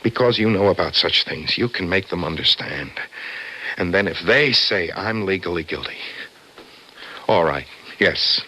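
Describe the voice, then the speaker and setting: A man's voice: deep voice, animated, unhurried, pauses often, sharp tone, breathy edge, exaggerated voice, low-quality recording. An actor playing a distraught young man confessing to murder in an old radio drama, stammering and repeating himself. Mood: frantic, pleading. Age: young adult. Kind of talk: mid-century radio drama acting.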